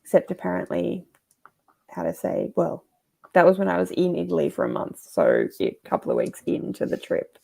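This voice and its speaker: A middle-aged woman chats easily on a livestream and laughs often. Her voice is heavily gravelly and warm. She sounds relaxed and conversational. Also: fairly low pitch, normal speed. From Australia. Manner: chatty, laughs often, reads comments aloud. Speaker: host. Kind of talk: casual livestream chat.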